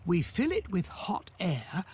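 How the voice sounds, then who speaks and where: A man's voice: high-pitched, huge pitch swings, takes it slowly, darkish tone, slightly rough, heavily acted. An actor voicing a fussy older male inventor who explains things patiently with a touch of condescension. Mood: explaining. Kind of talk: game voice acting.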